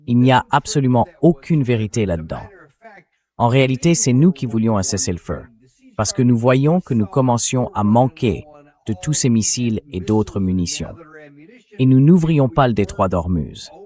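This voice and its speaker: A man's voice: medium pitch, animated, moderate pace, mellow. A man delivering blunt, argumentative political commentary in a dubbed, conversational style.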